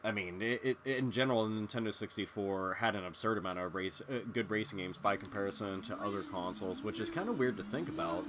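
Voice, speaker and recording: A man's voice: lowish voice, brisk, few pauses, background noise. A congested man hosting a gaming channel, chatting casually over gameplay in a rambling, profane way with lots of fillers. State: congested from illness. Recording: loud game music underneath. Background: loud racing game music.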